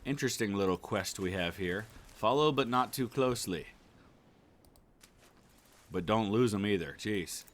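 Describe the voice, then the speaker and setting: Male let's player, fairly low voice, easygoing running commentary, casual. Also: a little slow, pauses often. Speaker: gaming YouTuber.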